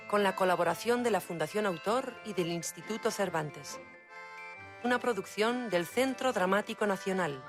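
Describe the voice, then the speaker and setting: Spanish woman announcer over music. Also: mid-range voice. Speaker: announcer reading credits. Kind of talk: scripted credits announcement.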